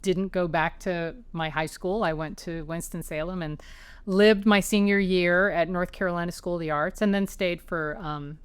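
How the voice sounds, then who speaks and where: A woman's voice: fairly low pitch, fairly even intonation, moderate pace, clean tone, no room sound. A woman author being interviewed at home, chatty and anecdotal, restarting sentences as she talks. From New York area, raised as an Army child. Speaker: author guest. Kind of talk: conversational interview. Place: her home.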